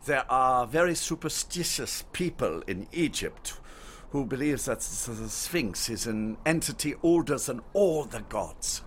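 Man playing courteous German archaeologist, animated delivery. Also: medium pitch, unhurried, slight background noise, lightly acted. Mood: reverent, explanatory. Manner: formal, gentlemanly storyteller. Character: courteous, learned German archaeologist showing visitors the Sphinx. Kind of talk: radio drama acting.

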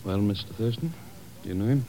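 An actor playing a polite, matter-of-fact morgue doctor in a 1950s radio drama. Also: male voice, on the low side, quick pace, mellow, close to an everyday voice. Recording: muffled noisy 1950s radio recording.

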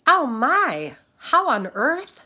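A woman's voice: sits high, sing-song, extremely slow, bright, forceful tone, heavily acted. An actress playing a practical, skeptical farm wife in a radio play, folksy and disapproving toward her husband. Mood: alarmed.

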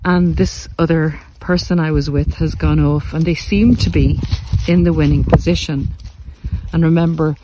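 A man giving a reflective, earnest monologue to listeners, speaking deliberately in short phrases with pauses. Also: fairly high pitch, steady tone, heavy background noise. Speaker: podcast host and narcissistic abuse coach. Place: outdoors in the countryside, walking. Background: country sounds.

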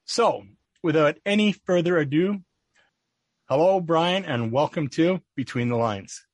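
A man hosting a podcast, reading a scripted introduction and then welcoming his guest, with a measured, deliberate delivery. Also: on the high side, no room sound. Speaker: podcast host.

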